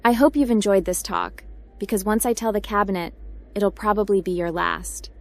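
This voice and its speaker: A woman's voice: mid-range voice, moderate pace, creaky, lightly acted. A woman voicing a tough, sardonic young female hacker captain in a roleplay game series, dry and curt. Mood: cold, defiant. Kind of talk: voiced roleplay game protagonist.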